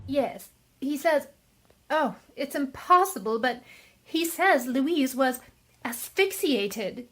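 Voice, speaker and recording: A woman playing a firm, sensible upper-class lady in a radio mystery play, emphatic and deliberate. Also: high voice, lively intonation, fairly bright tone, full character voice. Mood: insistent. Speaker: actor in an amateur radio play. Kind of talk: radio mystery drama acting.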